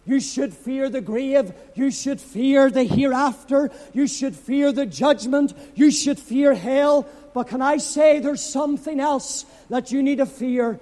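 A man preaching a fervent evangelistic sermon, solemn and emphatic, repeating phrases for effect and swinging between hushed and loud, impassioned delivery. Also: very high voice, unhurried, hardly any pauses. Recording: long hall reverb.

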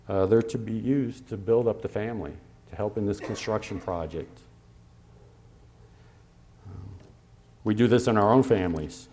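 Male pastor, lower voice, conversational sermon delivery with fillers, lands each scripture reference slowly. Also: darkish tone. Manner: slows to stress scripture references.

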